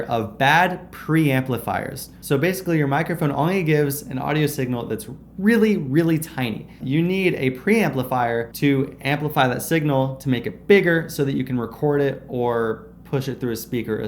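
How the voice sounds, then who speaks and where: A man's voice: medium pitch, a little hiss or hum. A young man in his twenties giving a casual, upbeat tutorial to camera, explaining and gesturing as he goes, recorded on a close microphone in a small room. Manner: upbeat, explanatory, gestures a lot while talking. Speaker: video creator teaching audio tips. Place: small indoor room with shelves. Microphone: close shotgun mic on a stand in front.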